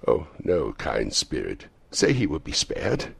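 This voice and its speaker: Man as an anxious, gravelly old miser, pleading. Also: rumbling low, huge pitch swings, unhurried, no room sound, heavily acted. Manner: pleading, earnest.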